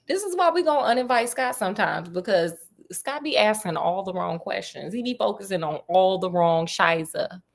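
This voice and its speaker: A woman's voice: mid-range voice, expressive, moderate pace, creaky. A woman storyteller casually retelling a classic novel with sassy, chatty asides.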